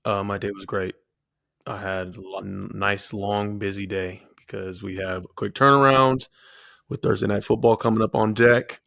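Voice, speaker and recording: A man's voice: lowish voice, fairly even intonation, normal speed, creaky, a bit bright, dry recording, clean studio sound. A man aged twenty-seven co-hosting a casual podcast, playful and teasing. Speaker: podcast co-host, football player. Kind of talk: casual chat.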